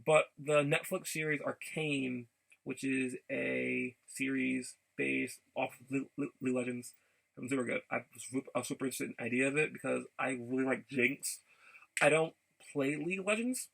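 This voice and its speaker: A man giving a casual, rambling vlog update in a gravelly voice, haltingly and often repeating his phrases. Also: mid-range voice, steady tone, a bit bright, rough recording. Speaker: video blogger.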